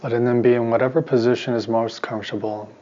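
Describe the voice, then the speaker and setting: A man teaching meditation in a soft voice, giving calm, soothing instructions in short, spaced phrases. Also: medium pitch, quick pace, highs cut off. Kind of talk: guided meditation.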